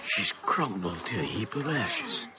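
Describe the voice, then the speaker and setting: A man playing a resolute older father in a radio drama, speaking in terse, deliberate phrases. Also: fairly low pitch, huge pitch swings. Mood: resolute, defiant. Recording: very noisy lo-fi radio play.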